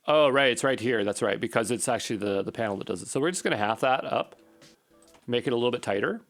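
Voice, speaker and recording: A man's voice: medium pitch, animated, fast talker, fairly bright tone, soft background music. An informal man presenting a coding tutorial in a mid-range voice. He talks through each step as he goes, often muttering to himself in a casual, thinking-aloud way.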